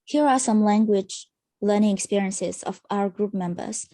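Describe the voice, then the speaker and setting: A woman's voice: medium pitch, normal speed, mellow, no room sound. A woman student presenter, a native Mandarin speaker, reading a prepared academic presentation formally.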